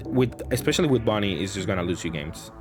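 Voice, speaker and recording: A man's voice: lowish voice, animated, quick pace, music in the background. A man giving casual gameplay commentary, thinking aloud in a hesitant, self-correcting way. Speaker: gaming content creator commentating matches.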